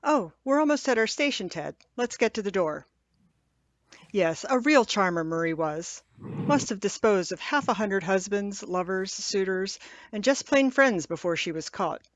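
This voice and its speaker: A woman's voice: medium pitch, animated, measured pace, no room sound, natural voice. A woman playing a wry, chatty, knowledgeable English professor in a radio drama. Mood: wry relish. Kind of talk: old-time radio drama acting.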